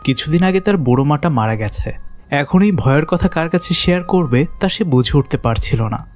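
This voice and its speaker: Guy narrating a kids cartoon story, very lively, highish voice, music behind.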